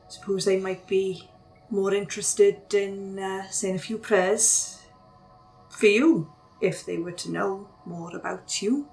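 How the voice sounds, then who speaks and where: A woman playing a cunning cursed woman who takes raven form, wry and measured as she bargains. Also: medium pitch, a little mellow, low-quality recording.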